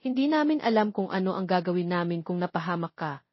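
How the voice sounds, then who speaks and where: A woman's voice: lowish voice, animated, fast talker, no echo, muffled recording. A woman voicing a concerned, caring middle-aged mother in a narrated love story. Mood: worried.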